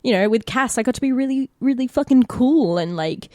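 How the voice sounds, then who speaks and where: A woman's voice: fairly high pitch, lively intonation, a little fast, a little mellow, no echo. Australian woman chatting casually, dry close sound. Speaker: actor and podcast player. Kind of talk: casual podcast chat.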